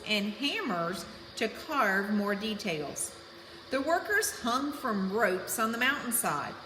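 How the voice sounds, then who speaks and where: A woman's voice: on the high side, pushed and bright. A woman teacher reading a children's nonfiction book aloud to students, in an instructional way with brief asides. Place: indoor room with heating running. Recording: echoey, reverberant room with background hum. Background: heater noise.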